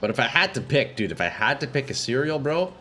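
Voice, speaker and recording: A man's voice: medium pitch, very animated, fast, pushed and bright, soft background music. A young man streaming a game live with a stuffy nose, chatting with viewers in a laid-back, slangy way at a desk microphone.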